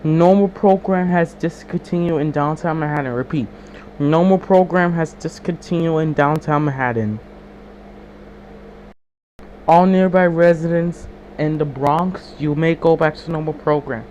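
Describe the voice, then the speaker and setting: A man's voice: on the high side, a little slow, darkish tone, slight background noise, close to an everyday voice. A man playing a solemn emergency alert announcer, giving formal, stilted, repeated warnings in an amateur mock broadcast. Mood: urgent, formal. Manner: formal, repetitive, stilted delivery.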